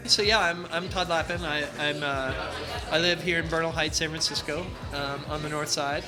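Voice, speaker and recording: A man's voice: a little high, fairly even intonation, music in the background. Rambling, brash New Jersey-raised man. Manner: self-described loud and brash, halting with many restarts, self-deprecating humor. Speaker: interview guest. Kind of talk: casual interview conversation.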